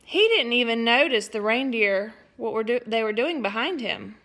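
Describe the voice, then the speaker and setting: Bright, expressive woman reading aloud. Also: on the high side, moderate pace. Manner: expressive, with playful asides to listeners. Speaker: teacher. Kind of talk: storybook read-aloud for children.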